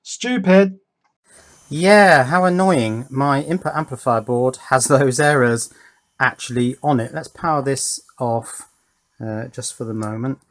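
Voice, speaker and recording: A man's voice: mid-range voice, measured pace. A man presenting a hands-on electronics demonstration at his workbench, talking off the cuff in a dry, self-deprecating way.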